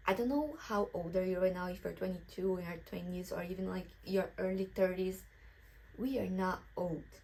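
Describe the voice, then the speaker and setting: A woman's voice: fairly low pitch, normal speed, gravelly, darkish tone, rough recording. A woman in her twenties from Brazil, a native Portuguese speaker talking in English with a Brazilian accent, giving earnest, encouraging advice in a casual vlog. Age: 20s. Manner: earnest, encouraging, candidly personal. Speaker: vlogger. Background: background music.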